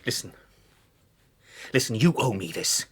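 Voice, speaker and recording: A man's voice: on the low side, expressive, measured pace, slightly rough, heavily acted. A man acting in a radio drama as a desperate, self-serving lawyer, pleading and insistent with a sarcastic edge. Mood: angry, pleading.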